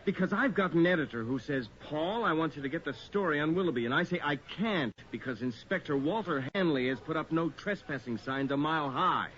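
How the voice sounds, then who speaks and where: A man's voice: fairly high pitch, animated, fairly quick, hardly any pauses, a bit bright. A man playing a persistent, wry reporter in a radio drama, talking in a needling, conversational way. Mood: wry insistence. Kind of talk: 1980s radio drama acting, one side of a phone call. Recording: muffled, slightly noisy radio recording.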